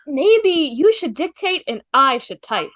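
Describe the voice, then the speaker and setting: A woman's voice: high voice, very animated, unhurried, fairly bright tone, full character voice. A woman in an amateur table read over a video call, playing a cheerful, helpful female assistant.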